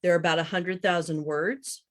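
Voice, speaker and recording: A woman's voice: lowish voice, steady tone, normal speed. A woman in her fifties speaking as a guest author, chatting casually and explaining things in an interview. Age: 50s.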